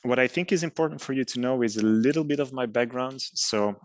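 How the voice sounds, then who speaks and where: A middle-aged man from Belgium giving a presentation on design, pausing often with ums and uhs. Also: mid-range voice, no room sound. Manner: hesitant, many ums and uhs. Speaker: design studio head and master's coordinator. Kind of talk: presentation talk with slides.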